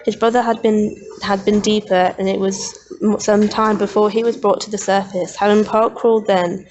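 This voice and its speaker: A woman's voice: medium pitch, flat delivery, normal speed, darkish tone. A woman student talking through research for a radio drama project. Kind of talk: reading research aloud, then casual discussion. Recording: dull lo-fi recording, faint noise.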